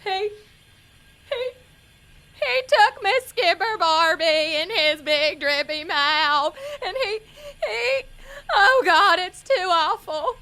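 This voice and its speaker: A woman's voice: very high voice, takes it slowly, sharp tone, heavily acted. A woman playing a naive, earnest young pageant contestant in an audio drama, prim and melodramatic in manner. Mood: tearful, overwrought.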